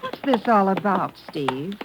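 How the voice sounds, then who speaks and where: An actress playing a quick, inquisitive woman writer in a 1950s radio drama, expressive and questioning. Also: medium pitch. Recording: noisy old radio recording.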